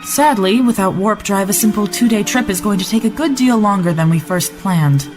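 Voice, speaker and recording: An actor playing a cautious, businesslike starship commander in a sci-fi audio drama, crisp and formal. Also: mid-range voice, normal speed, over music, natural voice. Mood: sober report.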